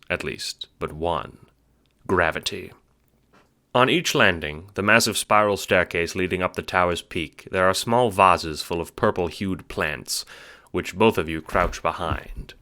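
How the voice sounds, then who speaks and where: Brief: male audiobook narrator, deep and bright-edged, wry, dramatic delivery of a scripted story. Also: measured pace.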